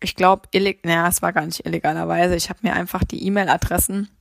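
A woman's voice: lowish voice, fast, creaky, dry recording, clean studio sound. A young woman from Saarland casually telling her own story with lots of fillers. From Saarland, Germany. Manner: chatty, many fillers, lively retelling.

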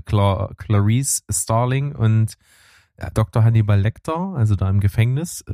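A man's voice: medium pitch, a little mellow, no echo, studio quality. A man chatting casually about films and trailers as a co-host, rambling with many fillers. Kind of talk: casual film chat.

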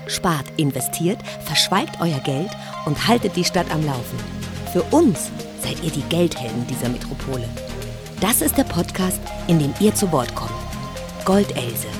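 A woman announcing a podcast intro in an upbeat, scripted way. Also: low voice.

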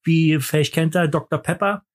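A man's voice: on the high side, moderate pace. A man co-hosting a podcast in casual banter, rambling and joking while drinking, a bit tipsy. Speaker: podcast co-host.

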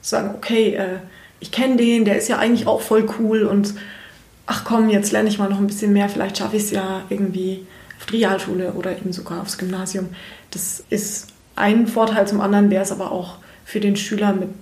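A woman's voice: mid-range voice, flat delivery, brisk, darkish tone. A young woman from Bavaria, a school teacher, answering interview questions in a frank, chatty way and laughing easily. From Bavaria, Germany. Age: young adult. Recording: roomy echo.

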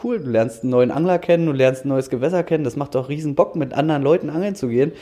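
A man's voice: fairly high pitch, very fast, mellow. A man from Berlin in his thirties, an angler talking casually as a podcast guest, upbeat and a bit rambling, laughing often. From Germany, Berlin.

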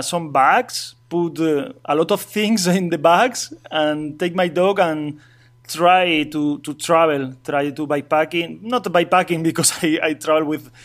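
A man from Asturias with a Spanish accent, speaking halting non-native English as an interview guest, with many restarts and light chuckles. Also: a little high, a bit bright. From Spain, Asturias. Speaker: guest, traveling cyclist. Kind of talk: casual remote interview.

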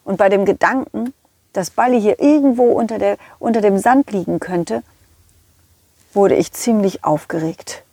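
A woman's voice: mid-range voice, normal speed, mellow, breathy edge, dry recording. A woman voicing a friendly, eager dog who tells its own adventure to children, repeating words for emphasis. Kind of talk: first-person children's storytelling.

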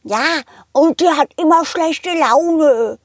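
A woman voicing excited little fruit and vegetable schoolchildren, eager, curious and childlike. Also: sits high, slow, dry recording, studio quality, pitched above the performer's own voice. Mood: curious, complaining.

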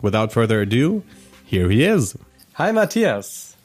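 A man's voice: medium pitch, sing-song, measured pace, darkish tone. A man hosting a ministry podcast, a native German speaker who reads an upbeat introduction and then chats with his guest. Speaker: podcast host. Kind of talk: scripted intro then interview chat.

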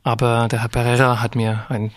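A man's voice: mid-range voice, little pitch movement, a little fast, no echo, clean studio sound. A young man from France with a French accent, a tenor talking casually in German as an interview guest and searching for words. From France, raised in Geneva. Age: young adult.

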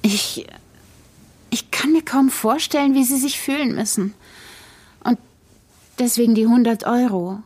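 An actress playing a sympathetic, shaken woman in an audio drama, breathy, halting and gentle. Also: a little high. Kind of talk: naturalistic audio drama acting.

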